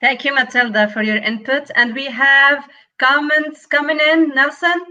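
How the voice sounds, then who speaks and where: Bright, higher-voiced woman moderating. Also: normal speed, dull audio. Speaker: podcast host. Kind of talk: moderating a panel discussion.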